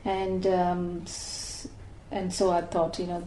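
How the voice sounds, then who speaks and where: A woman in her sixties from Malaysia, recalling her life thoughtfully in an oral history interview, with many pauses and restarts. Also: fairly low pitch, monotone, mellow, echoey room, slight background noise, low-quality recording. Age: 60s.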